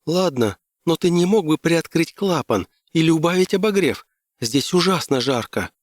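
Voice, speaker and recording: Male narrator as anxious woman client, raised pitch, dry close sound, audiobook dialogue. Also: animated, normal speed, dry recording, natural voice. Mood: apologetic. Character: anxious young woman client on an Antarctic trek.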